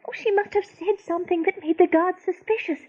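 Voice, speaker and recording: A woman's voice: very high voice, fairly even intonation, fairly quick, a little mellow. A woman playing an anxious, pleading young rabbit doe in a radio play. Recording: slightly dull radio recording.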